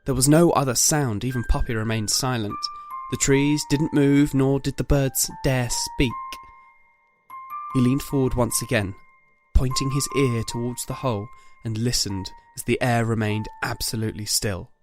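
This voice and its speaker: Man, narrator, scripted dramatic storytelling, medium pitch, faint music behind. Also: fairly even intonation, moderate pace.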